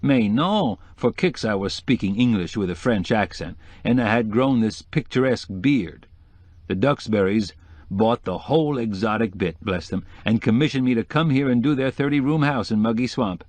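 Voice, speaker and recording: Man playing a flamboyant, witty decorator, lifted pitch. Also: animated, normal speed, a little mellow, no room sound, lightly acted. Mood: amused, confiding. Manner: sprinkles French phrases into hip American slang. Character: flamboyant, witty male interior decorator, sly and gushing. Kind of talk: acted audiobook dialogue.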